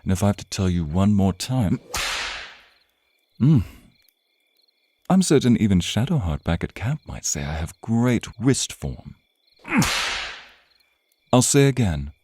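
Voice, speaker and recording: A man playing a suave, menacing, teasing vampire captor in an intimate ASMR roleplay, with theatrical, purring, drawn-out phrasing. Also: fairly low pitch, sing-song, warm tone, no echo, exaggerated voice. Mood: stern, threatening. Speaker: roleplay voice performer.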